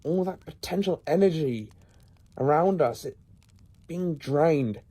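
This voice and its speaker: A man playing an analytical, protective professor in an audio drama, measured and musing aloud. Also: a little high, expressive, warm tone, husky, no echo, exaggerated voice. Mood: thoughtful, grave. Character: calm, analytical male professor and protector battling the supernatural.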